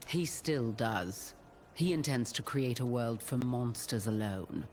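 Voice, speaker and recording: A woman's voice: very deep voice, animated, takes it slowly, darkish tone, slight background noise, full character voice. A woman voicing a grave, commanding heroic warrior in a video game. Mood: grim resolve.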